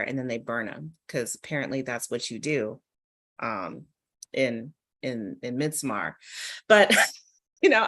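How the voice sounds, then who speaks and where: Low female voice, poet, casual panel talk, informal, discursive, playful asides. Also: fairly bright tone.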